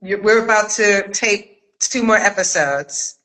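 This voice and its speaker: Casting: middle-aged woman, chat host, bright forward voice, slow and halting delivery. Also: mid-range voice, rough recording. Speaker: interview host and director. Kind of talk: casual live chat interview.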